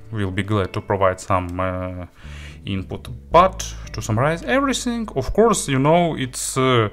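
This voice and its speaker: Low-pitched man, non-native English, reviewing gear. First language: not English. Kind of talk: unscripted product review talk.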